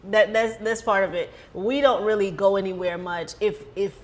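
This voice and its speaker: A woman's voice: medium pitch, moderate pace. An American woman of about forty, chatting casually and playfully as a podcast co-host, acting out bits of conversation. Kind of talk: casual chat. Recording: clear background noise, room ambience.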